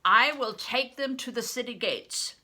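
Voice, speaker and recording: A woman's voice: on the high side, a little slow, bright, forceful tone, voice pushed up. An older woman voicing a hostile male steward, a storybook villain who gives commanding, clipped demands. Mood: menacing, angry.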